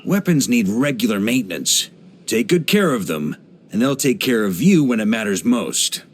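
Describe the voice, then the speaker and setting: A genial middle-aged knight commander from a video game, with a mid-pitched voice that moves in lively swings. He is easygoing and jovial, with a theatrical flair to the way he talks. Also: normal speed. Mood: proud, steady. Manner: jovial, laid-back, theatrical, given to chuckles. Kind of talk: English video game character voice lines.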